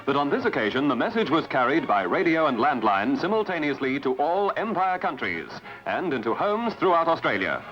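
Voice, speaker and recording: A man's voice: a little high, big pitch swings, a little fast, few pauses, muffled recording. A man reading scripted documentary narration as a newsreel narrator. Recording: band-limited archive sound over music. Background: music.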